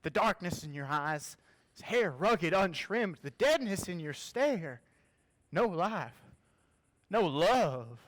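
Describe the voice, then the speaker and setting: Man playing a righteous stage-drama accuser, high forceful voice, animated intonation, preacherly, declamatory. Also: slow, heavily acted. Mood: grave, accusing. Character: righteous man confronting his guilty brother. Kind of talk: stage play acting.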